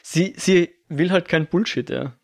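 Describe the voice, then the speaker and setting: A man's voice: on the high side, measured pace, gravelly. A man co-hosting a casual film discussion podcast, thinking aloud with many restarts and self-corrections.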